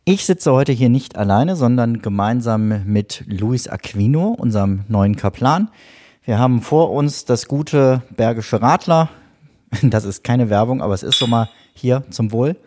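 A man's voice: medium pitch, a little slow. Chatty male parish podcast host. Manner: chatty, relaxed, friendly asides. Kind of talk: casual podcast interview.

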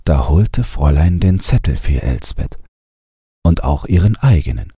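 A man reading a scripted bedtime audiobook in a calm storytelling style. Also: a bass voice, measured pace, breathy edge, no echo, studio quality. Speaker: audiobook narrator.

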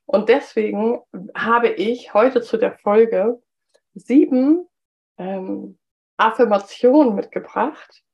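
A woman hosting a solo business podcast, slightly hoarse from a cold and chatty, with frequent filler sounds. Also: fairly high pitch, slow, darkish tone, lo-fi sound. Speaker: podcast host.

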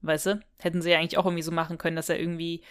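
A woman with a slightly low voice talks casually as a podcast co-host. She retells a story in colloquial language and often ends a thought with a short tag question. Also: flat delivery, racing, clean tone. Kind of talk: casual chat recapping a radio play.

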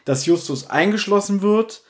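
Male podcast co-host, slightly high voice, casual and animated retelling. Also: moderate pace. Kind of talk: casual chat reviewing an audio drama.

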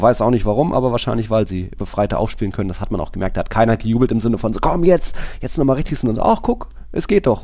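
A man's voice: medium pitch, darkish tone. A man hosting a podcast, talking casually about football in a chatty, rambling way.

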